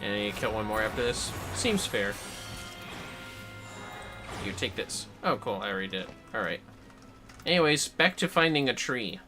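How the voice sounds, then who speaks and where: Guy livestreaming a game, rambly casual chat, pitch moves around a lot, some music behind. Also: big pitch swings, moderate pace, long pauses. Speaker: livestream host playing a game. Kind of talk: casual gaming commentary.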